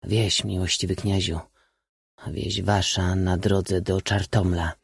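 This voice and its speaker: A man's voice: low voice, flat delivery, slow pace, gravelly, breathy edge, dry recording, studio quality, heavily acted. A man voicing a dazed, sullen Cossack servant who answers in terse, muted words, in an audiobook story. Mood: dull, stunned.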